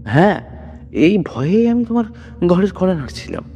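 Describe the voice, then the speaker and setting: A man's voice: high-pitched, sing-song, normal speed, warm tone, pitched above the performer's own voice. Man as anxious night traveller, heavy reverb. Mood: frightened. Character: frightened, respectful male traveller stranded at night. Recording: long reverb, noisy background.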